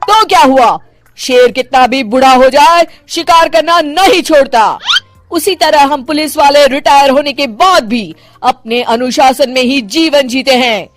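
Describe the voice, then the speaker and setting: Woman acting a high-pitched, bossy retired policewoman mother-in-law. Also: soft background music. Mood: stern. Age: older. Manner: barking drawn-out commands, strict. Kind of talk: animated moral story voice acting.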